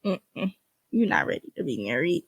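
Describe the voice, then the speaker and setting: A woman's voice: on the low side, sing-song, normal speed, gravelly, slightly rough, dry recording. A woman in her twenties chatting casually and frankly as a solo podcast host, slangy and free with swearing. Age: 20s (twenty-three).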